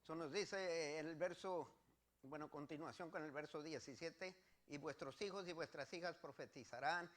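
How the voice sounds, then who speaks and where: A male pastor with a Mexican accent and a fairly high voice delivers a sermon. He teaches from scripture step by step, leaning on repetition for emphasis. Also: normal speed, fairly bright tone. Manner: emphatic, repeats phrases, explains step by step.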